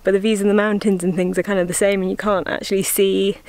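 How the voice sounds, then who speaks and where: A woman's voice: mid-range voice, little pitch movement, quick pace, darkish tone. A young woman vlogging casually to a handheld camera, chatty and upbeat, talking in a car and then outdoors on hiking trails. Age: young adult. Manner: chatty, upbeat, laughs often. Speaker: travel vlogger. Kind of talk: casual vlog talk to camera. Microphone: handheld camera at arm's length.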